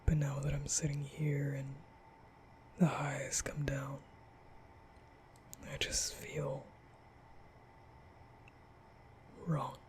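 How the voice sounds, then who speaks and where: Male actor as a apologetic young vampire for ASMR roleplay; slow, even pitch, hushed and breathy, rambling nervously. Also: a little hiss or hum. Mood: guilty, apologetic. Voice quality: breathy, often half-whispered. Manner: halting, stammering, rambling, sighing. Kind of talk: intimate ASMR roleplay monologue.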